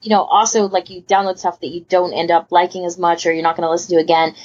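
Female podcast panelist, low-voiced, rambling and emphatic in casual conversation, on a muffled lo-fi recording. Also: flat delivery, fast. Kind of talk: casual chat about a hobby.

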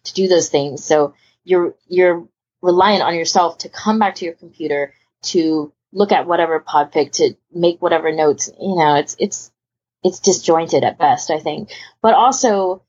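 A woman's voice: lowish voice, moderate pace, darkish tone, slightly rough. A woman chatting casually about a hobby as a podcast panelist, rambling and emphatic, often rephrasing herself mid-sentence. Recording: muffled lo-fi voice recording.

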